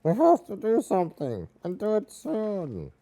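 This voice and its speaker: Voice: medium pitch, wildly swooping pitch, a little slow, dark tone. An actor playing a small, quirky goblin-like village elder in a video game, earnest and speaking in the third person. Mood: worried. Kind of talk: video game character acting.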